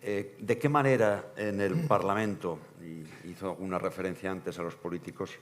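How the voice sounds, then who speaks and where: A man moderates a panel debate in a very low voice. He halts and restarts as he shapes his questions, then trades light banter with a panelist. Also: fairly even intonation, normal speed.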